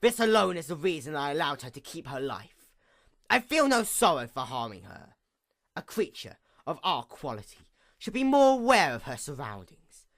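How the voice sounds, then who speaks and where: Performer as female pony night goddess, villain monologue: bitter, imperious, formal, hugely varied intonation, mid pitch. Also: big pitch swings, normal speed. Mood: cold, gloating. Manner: grandiose, formal, swinging from outbursts to hushed menace. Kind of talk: dramatic reading, theatrical villain monologue.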